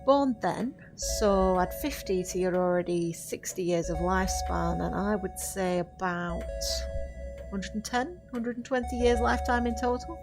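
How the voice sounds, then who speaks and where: An actress playing a centuries-old witch in an audio drama, gracious and quietly sorrowful, with formal, measured diction. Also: mid-range voice, natural voice. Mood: matter-of-fact. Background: music.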